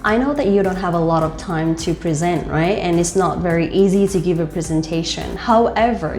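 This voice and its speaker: Young Vietnamese-accented woman coach, lowish voice, loose chatty delivery, roomy room. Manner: loose, repetitive, many "like" fillers. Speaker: host and life coach. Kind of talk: casual speaking tips to camera. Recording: roomy with faint music.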